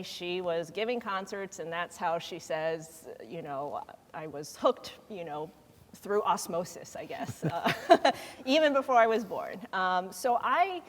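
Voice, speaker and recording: A woman from Taiwan speaking on a panel, often laughing and pausing with fillers. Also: lowish voice. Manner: warm, giggly, halting with fillers. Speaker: music nonprofit chief executive. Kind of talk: panel conversation. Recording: long hall reverb.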